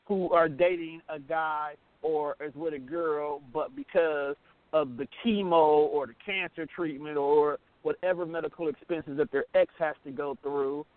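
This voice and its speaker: A man's voice: on the high side, steady tone, a little slow, no room sound. An American man co-hosting a radio talk show, explaining his opinions in a casual, emphatic, colloquial way. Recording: narrow phone-like line, dry.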